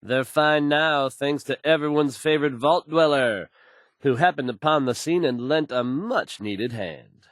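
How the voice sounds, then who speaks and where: An actor playing a confident, smooth-talking radio DJ in a game, delivering fluent and assured announcements. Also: male voice, fairly high pitch, a little slow, no echo, close to an everyday voice.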